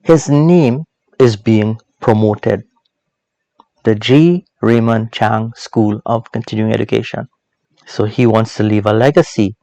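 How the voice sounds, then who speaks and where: Man teaching reflectively in a gravelly voice. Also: lowish voice, steady tone, warm tone, dry recording, rough recording. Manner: deliberate, emphatic, with repeated phrases and rhetorical questions. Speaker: host of a Bible proverbs teaching series. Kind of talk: reflective, sermon-like informal teaching.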